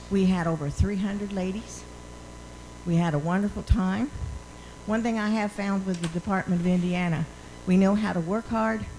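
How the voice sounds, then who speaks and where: A woman's voice: fairly low pitch, mellow, slight background noise, low-quality recording. A woman, president of an auxiliary, giving a chatty, upbeat greeting to a conference. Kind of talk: friendly speech. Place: conference hall.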